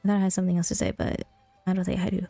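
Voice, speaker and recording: A woman's voice: on the low side, flat delivery, racing, creaky, mellow, no room sound. A woman casually chatting as she streams a game, her voice affected by a cold she is recovering from. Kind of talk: casual gameplay stream chat. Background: faint game music.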